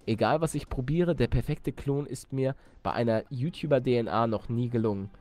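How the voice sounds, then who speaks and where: A man voicing a calm, self-assured mad professor in a video game, speaking in a knowing, formal, explanatory manner. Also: mid-range voice, moderate pace, natural voice.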